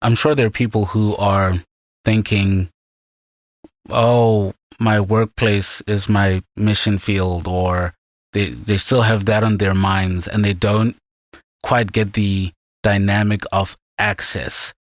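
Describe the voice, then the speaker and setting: A man from Zimbabwe hosting a podcast, asking questions thoughtfully and haltingly, with restarts as he frames them. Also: lowish voice, little pitch movement, no room sound, studio quality. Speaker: podcast host. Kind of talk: casual interview questions.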